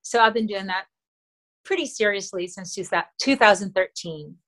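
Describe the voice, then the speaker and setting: A middle-aged woman from the Philippines, Tagalog her first language, speaks as a podcast guest at a medium pitch. She talks earnestly and warmly, with frequent fillers and soft laughs. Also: normal speed. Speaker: actress, interview guest. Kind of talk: casual interview talk.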